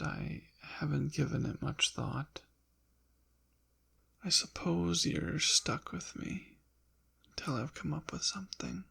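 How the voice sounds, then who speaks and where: Ancient demon, played by a man for ASMR roleplay: suave, possessive, coy and haughty, very creaky, whispery delivery at an unhurried pace. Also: a little high, a bit bright, exaggerated voice. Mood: coy teasing. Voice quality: breathy, often whispered, gravelly. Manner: coy, teasing, haughty, formal old-fashioned wording. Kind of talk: intimate ASMR roleplay acting.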